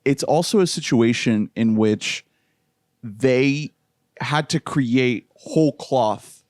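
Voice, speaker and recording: A man co-hosting a movie review podcast, laying out his points in a thoughtful, measured way. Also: mid-range voice, darkish tone, dry recording, studio quality. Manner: thoughtful, builds arguments slowly. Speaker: podcast co-host. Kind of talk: casual movie review chat.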